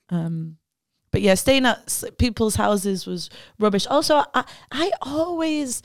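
A woman's voice: on the high side, slow, dry recording. Chatty woman, dry close sound. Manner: chatty, animated storytelling with many fillers. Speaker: podcast co-host. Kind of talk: casual chat.

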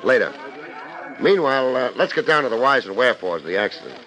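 An actor playing a laid-back, wry private detective in a dry 1950s radio style, on a noisy vintage recording. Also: male voice, medium pitch, big pitch swings, fast talker, bright, forceful tone. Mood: brisk, insistent.

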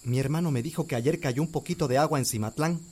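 A man playing a calm, hopeful young farmer. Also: fairly high pitch, fast, lightly acted. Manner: calm, reassuring. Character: young male farmer Gabriel, hopeful husband. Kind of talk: radio drama acting.

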